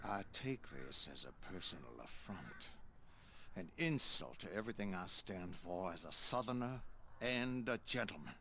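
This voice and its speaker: An actor playing a proud, vengeful Confederate colonel with a Southern US accent and pompous, indignant delivery. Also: male voice, low voice, very animated, measured pace, exaggerated voice. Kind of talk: cinematic Western game acting.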